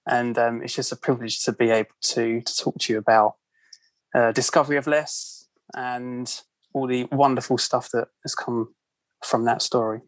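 A man's voice: medium pitch, steady tone, moderate pace, no room sound, low-quality recording. London man, casual guest, rambling friendly talk, dry sound. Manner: chatty, self-deprecating, many ums. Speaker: podcast guest, author. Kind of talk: casual interview chat.

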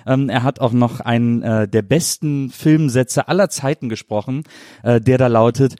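A man's voice: medium pitch, moderate pace, mellow, no room sound, studio quality. A man hosting a casual interview podcast, with a wordy, circling way of phrasing things. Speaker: podcast host. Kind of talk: casual interview, chatty introduction.

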